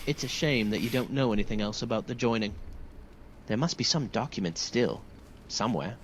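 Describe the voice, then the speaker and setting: A man's voice: on the low side, a little fast, close to an everyday voice. Guy playing a polite earnest young knight in a fantasy game, formal talk, some noise behind. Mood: earnest, hopeful. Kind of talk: video game dialogue acting.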